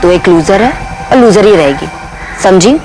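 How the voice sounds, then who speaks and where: A woman's voice: mid-range voice, animated, moderate pace, close to an everyday voice, poor audio. An actress playing a jealous, taunting young woman confronting a love rival in a TV crime drama. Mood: possessive, taunting. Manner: taunting, possessive. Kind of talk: Hindi TV crime drama acting.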